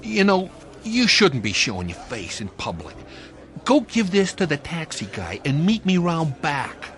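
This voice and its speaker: An actor playing a wary but kindly diner owner, hesitant and grudging, in a comic radio drama. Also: male voice, fairly high pitch, very animated, a little slow, a bit bright, full character voice. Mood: grudging, secretive.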